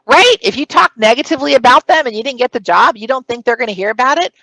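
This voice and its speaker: A woman's voice: sits high, expressive, fast, pushed and bright. A woman at a conference session giving interview advice in a strong, projected voice. She stresses her points firmly and counts them off one by one. The sound is close and dry, with no room echo. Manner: projected, emphatic, speaks in numbered points. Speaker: conference presenter. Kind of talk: recorded conference talk giving career advice.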